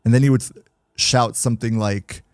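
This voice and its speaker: A man's voice: mid-range voice, steady tone, normal speed, darkish tone, no room sound. Chatty man streaming, dry sound. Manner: chatty, joking, reads chat aloud. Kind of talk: casual livestream chat with viewers.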